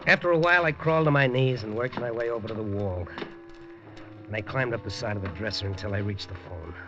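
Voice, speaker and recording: A man's voice: lowish voice, fast, noisy background, close to an everyday voice. An actor playing a hard-boiled, wisecracking newspaper reporter who narrates his own story in a 1950s radio drama. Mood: wry. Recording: dull old radio broadcast with music.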